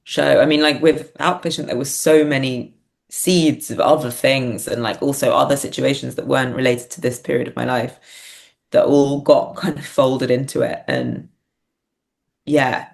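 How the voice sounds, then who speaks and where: Creaky-voiced British woman, reflective. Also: rumbling low, fairly even intonation, moderate pace, a little mellow. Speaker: podcast guest, playwright and performer. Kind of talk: casual, reflective interview chat.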